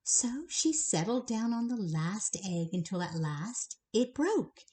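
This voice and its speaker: Slow, animated woman reading a bedtime story. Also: medium pitch. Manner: slow, animated, pausing to address the child listeners directly. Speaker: storyteller reading a children's book aloud. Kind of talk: expressive bedtime read-aloud to young children.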